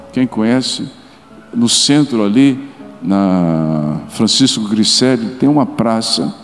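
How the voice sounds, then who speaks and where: A middle-aged Brazilian man preaching to a church, speaking at an ordinary pitch for a man. He tells a story with hushed asides and bursts of loud, rising exclamation. His voice rings out with a long reverb in a big hall, and soft music plays underneath. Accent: Brazilian Portuguese. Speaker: pastor. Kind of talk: sermon. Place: large church auditorium.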